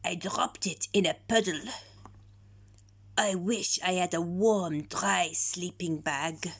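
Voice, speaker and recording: A woman voicing a forlorn fox in a children's storybook, plaintive and breathy. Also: on the low side, slow pace. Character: forlorn, damp little fox wanting warmth.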